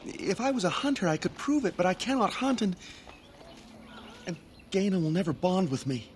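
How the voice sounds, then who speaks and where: Timid lovesick young male elf, game dialogue, highish swooping voice, hesitant and easily frustrated. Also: big pitch swings, moderate pace, slight background noise. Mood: frustrated, miserable. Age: young adult. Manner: hesitant, stammering, earnest. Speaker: video game character.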